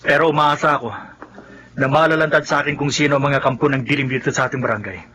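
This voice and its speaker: An actor playing an earnest, resolute young village son in a Filipino radio drama. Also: male voice, medium pitch, fairly even intonation, quick pace, pushed and bright, a little hiss or hum, lightly acted.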